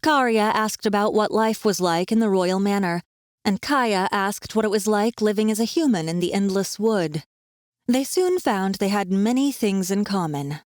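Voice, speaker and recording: A woman reads fantasy fiction as an audiobook narrator. Her pitch is in the middle range for a woman, and the delivery is scripted storytelling. The sound is very dry, with hardly any room in it. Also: no echo.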